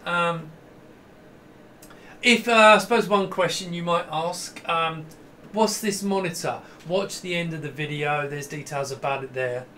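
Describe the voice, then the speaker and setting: High-pitched, bright-voiced middle-aged man. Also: a little slow, a little hiss or hum. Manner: enthusiastic, rambling, often breaking off mid-sentence. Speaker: host demonstrating a vintage computer. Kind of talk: casual hands-on demo talk to camera. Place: small indoor home room with computer gear.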